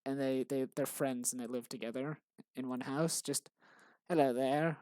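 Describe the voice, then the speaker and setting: A tired man rambles in a monotonous, deadpan way while he works on his game. His voice is mid-pitched and dry. He sits close to a desktop mic. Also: normal speed, dry recording. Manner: tired, low-energy. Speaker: game developer vlogger. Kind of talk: casual rambling commentary while working.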